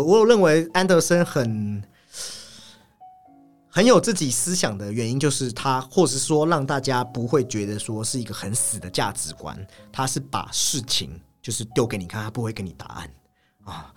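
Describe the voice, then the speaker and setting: A man co-hosting a podcast, casually discussing film in an analytical way and often repeating his agreement in quick strings. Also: medium pitch, expressive. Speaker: podcast co-host. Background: background music.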